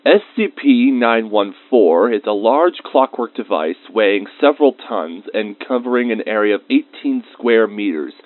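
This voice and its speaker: A man's voice: lowish voice, no echo. A man playing an easily irritated researcher, dictating lab log entries as scripted narration in an audio drama. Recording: muffled, band-limited close dry recording.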